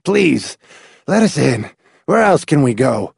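A man's voice: fairly high pitch, very animated, slow pace, creaky, breathy edge, dry recording, studio quality, pitched above the performer's own voice, heavily acted. A man voicing a desperate grown man who pleads in distress. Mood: pleading. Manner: imploring, distraught.

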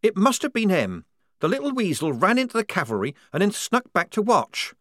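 A man voicing a quick-witted young woman soldier who talks fast and urgently to cover things up. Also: high voice, big pitch swings, fairly bright tone, no room sound, studio quality, voice pushed up.

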